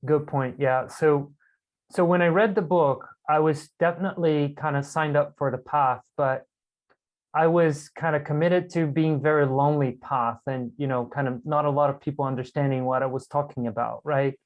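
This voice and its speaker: A man's voice: on the high side, fairly even intonation, moderate pace, lo-fi sound. A man from Brazil, a podcast guest, telling his story in a candid, reflective and self-amused way over a video call. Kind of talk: casual storytelling conversation.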